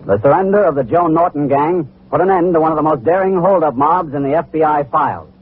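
A man's voice: on the high side, fast talker, faint music behind, natural voice. A man delivering formal scripted narration for an old radio crime drama. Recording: tinny narrow old radio recording.

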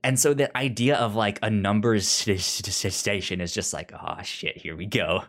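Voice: sits low, fairly even intonation, normal speed. Stammering podcast co-host in casual chat. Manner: stammering, repeats sounds and words. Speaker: podcast co-host reviewing audio drama.

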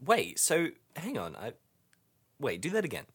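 A man improvising a put-upon, hesitant hiker in an audio roleplay, halting and repeating his opening words. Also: mid-range voice, wildly swooping pitch, fairly bright tone, slightly rough, pitched above the performer's own voice, exaggerated voice. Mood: impatient.

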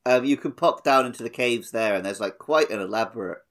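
A man's voice: mid-range voice, a little fast, fairly bright tone. A man co-hosting a podcast, chatting casually about a video game with wry, dry humour. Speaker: podcast co-host.

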